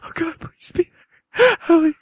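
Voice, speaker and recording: A man's voice: extremely high pitch, moderate pace, no echo, voice pushed up. A man playing a terrified, maimed captive who frantically begs for rescue in a dramatic scene, sobbing and repeating his pleas. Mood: sobbing desperation. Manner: frantic, begging, repeating pleas, breaking into sobs. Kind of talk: dramatic scene read aloud.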